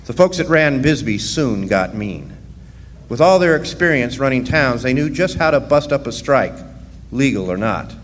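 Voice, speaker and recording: A man playing a plainspoken, wry miner who tells his story in a live radio drama, with a folksy and deliberate delivery. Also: medium pitch, animated, natural voice. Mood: wry, grave. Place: live performance in a resort hall. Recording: echoey hall stage, faint noise.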